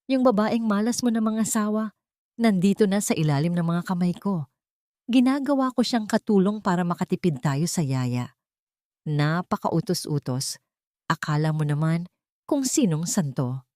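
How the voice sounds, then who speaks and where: A woman's voice: on the low side, animated, normal speed, mellow, no echo, studio quality, close to an everyday voice. A woman voicing a cruel, scheming mistress in an audio drama, with a menacing, contemptuous manner.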